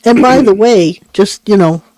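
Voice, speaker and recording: A chatty woman co-host who keeps cutting in with quick asides, quiet mm-hmms and short laughs. She talks in a loose, conversational way on a live-stream studio mic. The sound is dry and close, with no room echo. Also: medium pitch. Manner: chatty, jumps in, frequent mm-hmms and light laughs. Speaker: co-host of a psychic call-in radio show. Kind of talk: casual chat.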